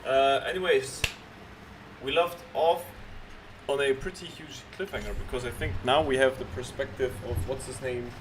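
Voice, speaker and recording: A man's voice: mid-range voice, fairly even intonation, normal speed. A man streaming his reaction to a game, chatting casually and hesitantly as he thinks aloud. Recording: loud noise behind the voice.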